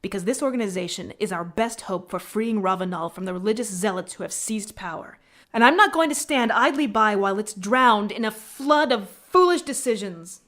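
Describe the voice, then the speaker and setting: A woman's voice: mid-range voice, expressive, moderate pace, fairly bright tone, close to an everyday voice. A woman voicing a proud, stern rebel military tactician in a video game, curt, sardonic and articulate, given to lecturing. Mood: scornful, angry. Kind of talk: scripted video game character acting.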